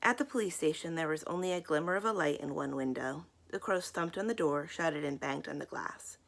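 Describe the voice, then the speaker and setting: A woman narrates a children's story as a read-aloud host. Her voice sits rather low and has a strong creak as she moves through the prose. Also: brisk, a bit bright.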